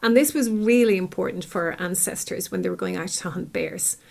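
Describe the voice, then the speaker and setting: A woman's voice: fairly low pitch, fairly quick, gravelly, darkish tone. A middle-aged woman with an Irish accent, a psychologist talking as an interview guest and explaining things through everyday examples. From Ireland. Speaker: clinical psychologist, podcast guest.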